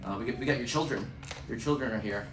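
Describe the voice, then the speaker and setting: A man telling stories on a photography panel, joking and animated. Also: medium pitch, fast talker, a crowd in the background. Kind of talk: panel discussion. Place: event room at a photography center. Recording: roomy room mic from far off, background noise.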